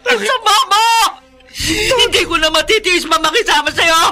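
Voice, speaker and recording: A woman's voice: extremely high pitch, moderate pace, shouting. A woman with a Filipino accent playing a betrayed, defiant wife in a radio drama. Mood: hurt, furious.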